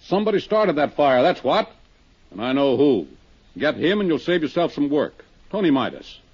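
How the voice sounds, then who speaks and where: An actor playing a rude, bad-tempered older businessman with a husky voice, barking his lines in a muffled 1950s radio drama. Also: fairly high pitch, very animated, normal speed, darkish tone, exaggerated voice. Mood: belligerent. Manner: gruff, barking and contemptuous.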